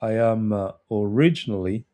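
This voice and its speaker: A man from the Republic of Congo, introducing himself as a podcast guest in a casual, measured, slightly hesitant way. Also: lowish voice, mellow. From Republic of Congo, later South Africa. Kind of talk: casual conversational self-introduction.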